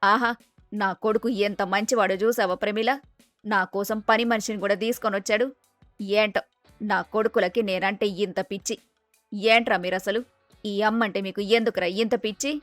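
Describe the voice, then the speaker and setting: A woman's voice: medium pitch, fairly even intonation, normal speed, no room sound, soft background music, natural voice. A woman playing a doting, boastful middle-aged mother who scolds in colloquial exclamations, in a dramatised moral story. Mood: proud, fond.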